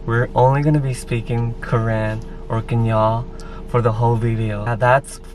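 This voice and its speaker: A young man co-hosting a casual vlog, talking in a relaxed, easygoing way. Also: mid-range voice, moderate pace, far from the mic, slight background noise. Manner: relaxed, easygoing, switching between languages. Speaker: vlog co-host. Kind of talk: casual chat.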